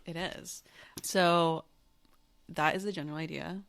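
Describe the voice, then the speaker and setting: Female artist guest, Bay Area, lowish voice, relaxed and laughing. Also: gravelly. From San Francisco Bay Area. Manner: easygoing, laughs often, drawn-out "like" pauses. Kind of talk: casual interview chat.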